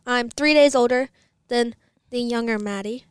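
A woman's voice: a little high, normal speed, smooth, no echo, clean studio sound. A fourteen-year-old girl co-hosting a podcast, playful and drawling. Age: teens. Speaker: podcast co-host. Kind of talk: casual chat.

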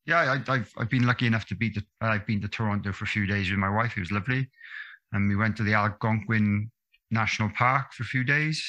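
A man's voice: lowish voice, steady tone, bright, forceful tone, dull audio. A man in his thirties hosting a podcast over a video call, chatty and upbeat. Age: 30s. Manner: upbeat, chatty, quick laughs. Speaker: podcast host. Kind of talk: friendly podcast interview. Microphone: webcam video call.

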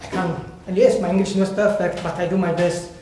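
Man, Iraqi Arabic accent, high-pitched, searching for words as he addresses an audience; heavy echo. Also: steady tone, dark tone. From Iraq. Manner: halting, searching for words in non-native English. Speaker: guest speaker, volunteer. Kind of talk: personal testimony to an audience. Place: bright indoor hall, at a lectern before a seated audience. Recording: very echoey hall, faint background noise.

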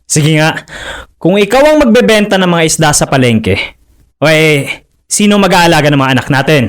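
An actor playing a proud, stubborn fisherman husband in a radio drama, who talks easily but quickly turns forceful and loud. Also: a little high, animated, close to an everyday voice. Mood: heated. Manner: easygoing at first, then heated and raising his voice.

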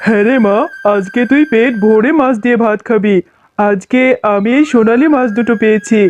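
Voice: mid-range voice, fairly even intonation, brisk, darkish tone, slight background noise, lightly acted. An actor voicing a poor, doting village father fox in a children's cartoon. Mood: fond, eager. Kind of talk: Bengali children's cartoon dialogue. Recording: muffled, narrow-band sound with music.

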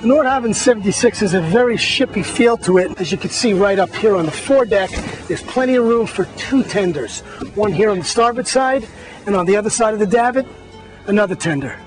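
Man, high voice, upbeat tour narration, points out features one by one, clear music behind. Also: animated, fairly quick, rough recording. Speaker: magazine editor giving a boat tour.

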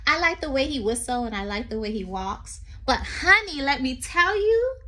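A middle-aged woman reading a poem aloud to young children like a teacher, expressive and sing-song, with chatty asides. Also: sits high, normal speed. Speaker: teacher reading to her class. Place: small room indoors. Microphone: phone at arm's length.

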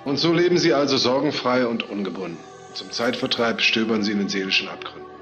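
Man playing probing police detective in a crime radio play: brisk pace, middle pitch, dry and pointed, music underneath. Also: close to an everyday voice. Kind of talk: German crime radio drama acting.

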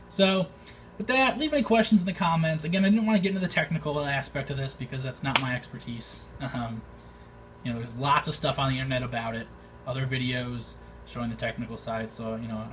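Halting man, recorded at a distance. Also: mid-range voice. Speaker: amateur radio video maker. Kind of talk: informal opinion vlog. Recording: distant mic, faint background noise.

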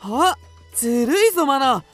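An actor playing an excitable, mischievous ten-year-old schoolboy, a bossy big brother, in a children's radio drama. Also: male voice, very high-pitched, measured pace, faint music behind, heavily acted. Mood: indignant.

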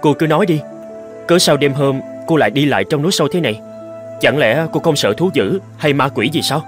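A man voicing a kind, lonely young scholar in a cartoon fairy tale, gentle and wondering. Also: fairly high pitch, expressive, a little fast, faint music behind, close to an everyday voice. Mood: puzzled.

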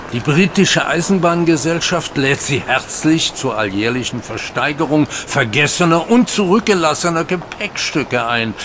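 Eager, animated gentleman. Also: mid-range voice, a little hiss or hum. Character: eager, cheerful gentleman leading his friend to an auction. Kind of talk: German audio drama acting.

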